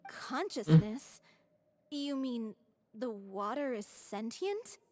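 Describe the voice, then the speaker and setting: An actress playing a serious, blunt young female theatre troupe member in a video game, deliberate and frank. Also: a little high, sing-song, dark tone. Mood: puzzled.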